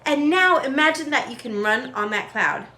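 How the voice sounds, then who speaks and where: Woman as young boy in a kids story, helpful, high voice, echoey room. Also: animated, moderate pace, sharp tone. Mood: instructing.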